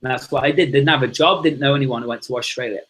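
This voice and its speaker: A man's voice: mid-range voice, fast talker, fairly bright tone. A man, chatting casually and enthusiastically as a podcast guest, rambling and calling everyone "man" and "dude". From Liverpool, England. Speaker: podcast guest, success coach. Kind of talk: casual conversation.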